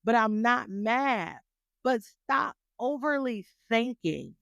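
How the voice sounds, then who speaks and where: A woman podcast host gives a motivational talk and presses her listeners with question after question. She speaks slowly and deliberately, and her slightly high voice is smooth and clear. The recording is dry and close, and her voice sounds stern when she means business. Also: animated, fairly bright tone, dry recording. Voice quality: stern but graceful voice. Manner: emphatic, rhetorical questions, repeats phrases for emphasis.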